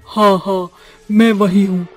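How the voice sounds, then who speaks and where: A man's voice: high-pitched, little pitch movement, takes it slowly, soft background music. A man voicing a compassionate female witch ghost in a cartoon, speaking earnestly and plaintively. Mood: earnest, sorrowful. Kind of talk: Hindi horror cartoon voice acting.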